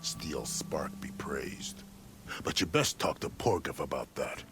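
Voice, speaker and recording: An actor playing a plain-spoken, seasoned caravan scout in a video game, with a folksy, matter-of-fact manner. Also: male voice, very deep voice, lively intonation, takes it slowly, whispered, full character voice. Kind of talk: video game character acting.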